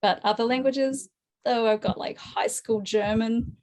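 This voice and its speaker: A woman's voice: on the high side, steady tone, unhurried, a little mellow. Chatty woman with a New Zealand accent. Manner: chatty, laughs often, many fillers. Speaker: guest artist. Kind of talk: casual interview chat.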